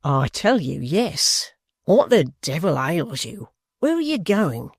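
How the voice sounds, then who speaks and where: A brash young rioter bellows in a raised, high-pitched voice, impatient and blunt, as a male narrator puts him on. The storybook reading is close-miked and dry. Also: big pitch swings, slow pace, creaky, slightly rough, dry recording, exaggerated voice. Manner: shouting, brusque.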